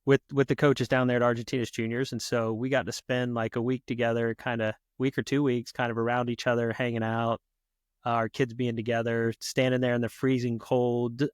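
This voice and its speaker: A man's voice: mid-range voice, level tone, a little fast, clear, resonant tone, no echo, clean studio sound. A man from the United States hosting a podcast, chatting in a casual way and asking long, rambling questions full of fillers. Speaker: podcast host. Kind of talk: casual interview.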